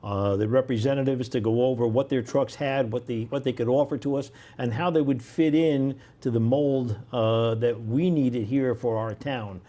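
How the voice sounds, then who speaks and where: Very mellow, soft-edged man explaining. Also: mid-range voice, moderate pace, few pauses. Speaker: firefighter, interview guest. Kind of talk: conversational explanation.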